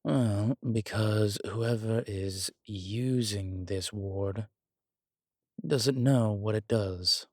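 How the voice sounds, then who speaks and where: A man playing a wry, world-weary demon investigator in a horror roleplay audio, speaking in hesitant, measured, musing phrases with dry humour. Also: on the low side, darkish tone, dry recording. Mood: pensive, wary. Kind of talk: horror roleplay audio, intimate one-sided dialogue.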